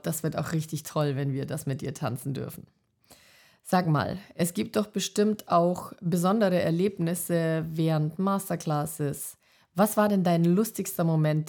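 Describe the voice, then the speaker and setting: Female podcast interviewer, lowish and mellow, casual chat delivery.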